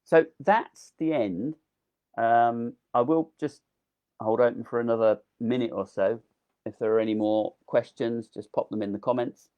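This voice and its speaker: A man's voice: medium pitch, fairly even intonation, moderate pace, clear, resonant tone, muffled recording. Man giving a chatty gardening talk. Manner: chatty, enthusiastic, with frequent ums. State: losing his voice. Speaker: delphinium grower giving a talk. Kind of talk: casual live online talk.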